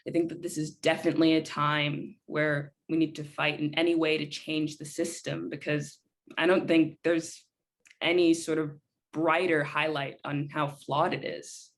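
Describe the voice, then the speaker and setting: A woman's voice: sits low, flat delivery, moderate pace. A woman playing earnest, sympathetic Miss Morse. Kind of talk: documentary theater, acted as group discussion.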